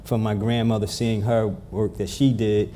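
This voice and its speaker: A man in his fifties from New Jersey, a guest telling stories in a casual interview, relaxed and given to repeating words. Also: on the low side, moderate pace, a little hiss or hum. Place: event room with a live audience.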